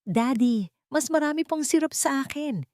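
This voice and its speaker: A woman voicing an excited, affectionate little girl in an audiobook story. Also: sits high, moderate pace, dry recording, clean studio sound, pitched above the performer's own voice, lightly acted. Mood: eager. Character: excited affectionate little girls calling their father and aunt. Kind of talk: audiobook character dialogue.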